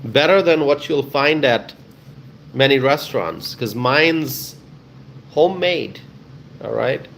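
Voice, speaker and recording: A man's voice: mid-range voice, slow pace, gravelly, pauses often, a bit bright, a little hiss or hum. A man from Bangladesh hosting a casual livestream, reading out viewer comments and answering them, often repeating phrases for emphasis. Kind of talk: casual live chat with viewers.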